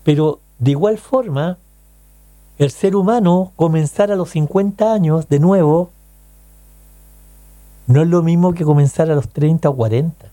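Dark-voiced Chilean man hosting radio. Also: fairly high pitch, measured pace, pauses often, no echo. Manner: chatty, rhetorical questions, frequent "ya?" tags. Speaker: radio host. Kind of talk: casual radio talk to listeners.

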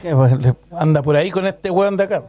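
A man's voice: fairly high pitch, brisk, dark tone. A Chilean man hosting a radio show with informal, exclamatory, enthusiastic banter.

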